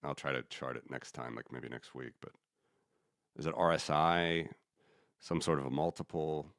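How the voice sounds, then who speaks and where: A man's voice: extremely low pitch, moderate pace, a bit bright, dry recording, clean studio sound. A man who grew up in the United States, hosting a casual economics podcast and thinking aloud in a rambling, opinionated way with many restarts. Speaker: podcast host.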